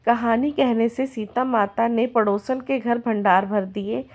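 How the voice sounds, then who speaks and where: A hoarse woman narrates a devotional folk tale, slipping into rhythmic chanted refrains. Her voice sits somewhat high for a woman, with a worn, rough edge. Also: steady tone, a little slow. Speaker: devotional storyteller.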